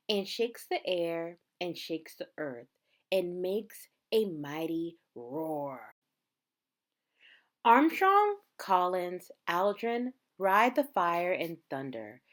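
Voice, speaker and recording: A woman reading a picture book aloud like a teacher, in measured, dramatic phrases with emphasized words. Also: lowish voice. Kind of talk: read-aloud storytelling.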